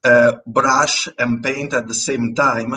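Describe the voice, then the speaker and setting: A man's voice: medium pitch, steady tone, takes it slowly, bright, forceful tone. A man with an Italian accent, a native Italian speaker, talking as a guest artist in a casual interview, hesitant and full of fillers and restarts. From Italy.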